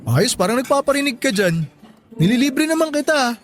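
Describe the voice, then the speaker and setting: An actor playing a teasing, devoted young best friend in a radio drama, with a playful, bantering delivery. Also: male voice, very high-pitched, sing-song, moderate pace. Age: young adult. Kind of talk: Tagalog radio drama acting.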